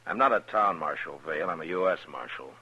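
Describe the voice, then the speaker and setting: An actor with an American accent playing a calm, watchful U.S. marshal in a 1950s radio western. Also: male voice, a bass voice, fast talker, breathy edge, full character voice. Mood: courteous, steady. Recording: dull vintage radio recording.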